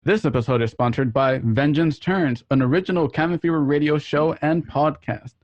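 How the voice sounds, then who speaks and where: A man's voice: a little high, moderate pace, muffled recording. A man hosting a show, introducing it in an announcer-like way with casual asides, recorded at home. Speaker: host. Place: at home, remote ensemble session.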